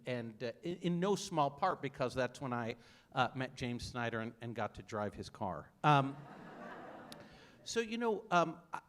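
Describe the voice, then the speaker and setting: A man's voice: fairly high pitch, a bit bright. A middle-aged man, an academic guest, speaking in a stage conversation, self-deprecating and full of fillers and restarts. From United States, raised in Saudi Arabia. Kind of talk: conversation before an audience.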